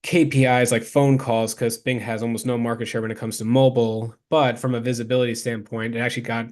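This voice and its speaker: A man's voice: mid-range voice, flat delivery, brisk, a little mellow. A man giving an informal explanatory presentation as a consultant, speaking directly to the viewer with practical advice. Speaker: local SEO consultant presenting a case study.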